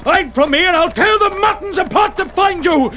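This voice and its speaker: An actor playing a furious, savage barbarian warrior who bellows oaths and threats in a fantasy audio drama. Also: male voice, extremely high pitch, expressive, normal speed, sharp tone, a little hiss or hum, full character voice. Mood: enraged. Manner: oath-swearing, bellowing, menacing.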